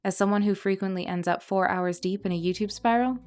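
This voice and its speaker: A woman's voice: medium pitch, fairly even intonation, fairly quick, a little mellow, soft background music. A woman podcast host reading scripted narration between stories.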